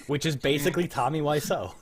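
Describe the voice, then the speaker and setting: A man hosting a casual group chat over a video call, speaking in an excitable, opinionated, freely swearing way. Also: fairly high pitch. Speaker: host of a radio drama livestream.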